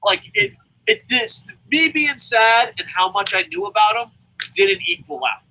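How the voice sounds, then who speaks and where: A man's voice: very high voice, very animated, a little slow, vocal fry, pushed and bright, rough recording. A man hosting a casual wrestling review podcast, rambling and swearing freely, with stammering restarts. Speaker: wrestling review show host. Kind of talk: casual podcast chat, profane. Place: lives in Japan.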